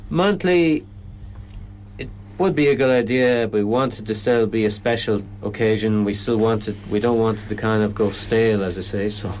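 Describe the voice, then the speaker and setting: Irish man, low pitch, hesitant restarts, radio interview guest, faint hum behind. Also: moderate pace, a little mellow, poor audio. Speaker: comedy event organiser.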